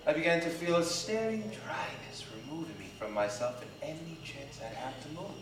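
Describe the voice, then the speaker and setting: Very deep-voiced woman, echoey stage reading. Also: lively intonation, normal speed. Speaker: live stage reader in an ensemble. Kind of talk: dramatic literary story reading, scripted narration. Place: live theatre stage, recorded from a distance. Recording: echoey, distant live theatre recording with noise.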